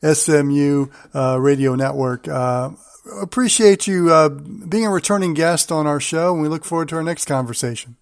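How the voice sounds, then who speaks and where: A man's voice: fairly high pitch, moderate pace, no room sound, studio quality. A man hosting a sports show, interviewing in a conversational radio style.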